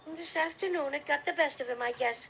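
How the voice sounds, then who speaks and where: Cinnamon Bear, a gravelly little Irish teddy bear. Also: male voice, very high-pitched, quick pace, fairly bright tone, slight background noise, full character voice. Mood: friendly. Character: small cheerful male teddy bear, slightly Irish. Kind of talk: 1930s radio play acting. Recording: dull lo-fi vintage radio recording.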